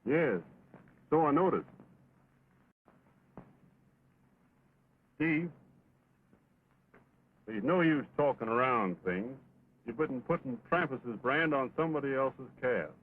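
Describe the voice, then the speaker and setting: Earnest cowboy in muffled old film sound. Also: male voice, medium pitch, expressive, measured pace, pauses often, breathy edge. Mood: wary, direct. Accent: American. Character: earnest, steadfast cowboy pleading with his wayward friend. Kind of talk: early 1929 talkie western film acting.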